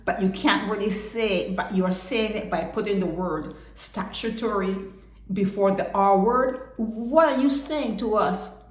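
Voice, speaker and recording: Lady ranting solo, slow deliberate delivery, echoey room. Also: mid-range voice, fairly bright tone. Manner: indignant, rhetorical questions, rising sharply. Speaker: commentator. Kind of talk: impassioned solo commentary.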